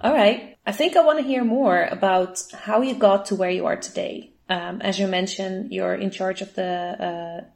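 A woman hosting an interview, friendly and quick to laugh as she asks questions. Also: medium pitch, gravelly, darkish tone, faint music behind. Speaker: interview host. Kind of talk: conversational interview.

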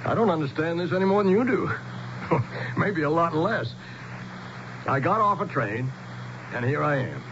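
A man's voice: fairly high pitch, expressive, normal speed, pauses often, close to an everyday voice. An actor playing a bewildered, skeptical American man stranded as an outsider in a 1970s radio drama. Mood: bewildered, halting. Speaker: actor and narrator. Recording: muffled, noisy old radio broadcast.

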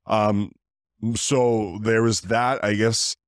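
A man who hosts a podcast, talking casually in a deep voice, rambling with fillers and restarts. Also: steady tone, very slow, dry recording, clean studio sound. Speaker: podcast host. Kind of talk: casual interview chat.

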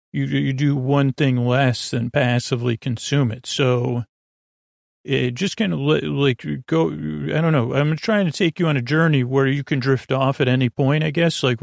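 Give me a man with a creaky voice meandering through a bedtime ramble, mid pitch. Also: fairly even intonation, measured pace, dry recording, studio quality. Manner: meandering, full of tangents and restarts. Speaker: sleep podcast host.

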